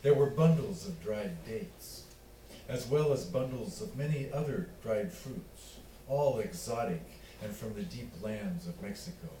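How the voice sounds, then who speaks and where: A man reading literary fiction aloud as its author, in a measured, deliberate way with pauses between phrases. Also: medium pitch, dark tone. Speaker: author reading own work. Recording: roomy, distant miking with faint noise.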